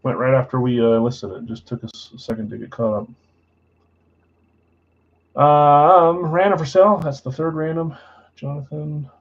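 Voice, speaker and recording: A man's voice: normal speed, pauses often, dull audio. A man hosting a live trading card break stream, casually reading out buyers and spots in a matter-of-fact voice he calls boring. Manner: self-described boring voice, low-key and matter-of-fact.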